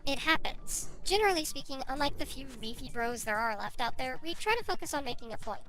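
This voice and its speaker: High-pitched woman narrating over music. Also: lively intonation, fast talker, a bit bright. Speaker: online commentary video creator. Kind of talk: casual video essay narration.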